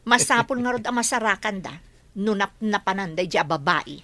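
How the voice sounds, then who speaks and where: A woman's voice: medium pitch, animated, fairly quick, pushed and bright. A woman with a Filipino Ilocano accent playing an imperious, scheming woman in an audio drama. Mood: sly, gloating. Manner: imperious, impatient, demanding. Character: scheming, bossy woman plotting against a relative.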